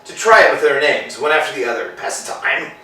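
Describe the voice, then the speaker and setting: An actor playing a petulant, weary, childish tramp in a staged play reading, theatrically swinging between mutters and yells. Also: male voice, a little high, big pitch swings, fast. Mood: weary, idle. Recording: very echoey room, distant mic, faint noise.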